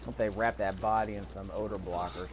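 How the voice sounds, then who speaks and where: A man's voice: on the low side, fairly even intonation, a little fast, noisy background. A man giving casual gameplay commentary, thinking aloud to himself as he plays. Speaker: gamer recording a gameplay video.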